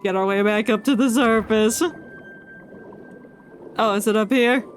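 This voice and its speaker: A woman's voice: mid-range voice, fairly even intonation, measured pace. A woman giving casual live commentary on a game, thinking aloud as the game music plays behind. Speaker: game streamer.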